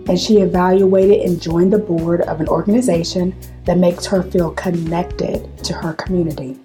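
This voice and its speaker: A woman hosting a podcast interview, her voice deep and scratchy because she is hoarse with a cold. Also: warm tone. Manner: reads her intro from a script, then asks short friendly questions. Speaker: podcast host.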